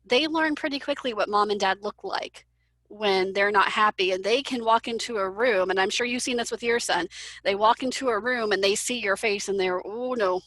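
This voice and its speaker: A woman who teaches visually impaired children talks through her approach, clear and explanatory, at an ordinary pitch. Her voice is dry with no room echo, as on a video call. She sometimes acts out example phrases to show what she means. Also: moderate pace, a bit bright. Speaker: educator for visually impaired students. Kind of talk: conversational webinar discussion.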